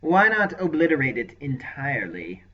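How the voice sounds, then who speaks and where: A man's voice: mid-range voice, expressive, measured pace, slightly rough. A man voicing a ruthless, scheming lord who speaks with commanding contempt in an audiobook. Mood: imperious scheming. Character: ruthless scheming male warlord lord, venomous and imperious. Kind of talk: audiobook character voice. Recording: lo-fi dull recording.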